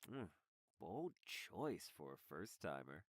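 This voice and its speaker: A woman's voice: extremely low pitch, big pitch swings, slow pace, pitched below the performer's own voice, exaggerated voice. A woman voicing a confident, teasing young male university student in a visual novel, laid-back and smirking.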